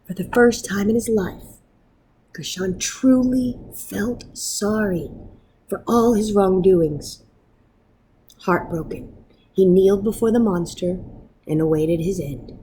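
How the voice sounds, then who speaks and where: A woman reading a children's story aloud in an expressive, dramatic manner with measured pauses. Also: mid-range voice, a little hiss or hum, poor audio. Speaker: storybook reader.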